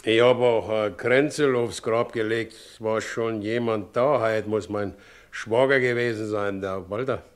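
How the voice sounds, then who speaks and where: An actor playing a good-natured, plain-spoken widowed carter of middle age in a 1960s radio play, brooding and hesitant, with rustic phrasing. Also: male voice, lowish voice, fairly even intonation, a little slow, lightly acted. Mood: subdued grief.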